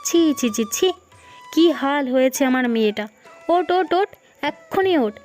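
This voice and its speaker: A high-voiced, proud middle-aged mother from a cartoon melodrama acted by a woman. She is fiercely protective and emphatic, hammering her words through repetition, with music playing underneath. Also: animated, normal speed, a little mellow. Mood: indignant, scolding. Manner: scolding, emphatic, repeats words for effect.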